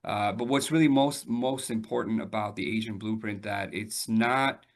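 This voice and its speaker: A man in his forties, born and raised in Albania, telling his story in a relaxed interview with lots of fillers and restarts. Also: fairly low pitch, moderate pace. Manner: talks with frequent fillers and repeated starts, laughs now and then. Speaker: realtor guest.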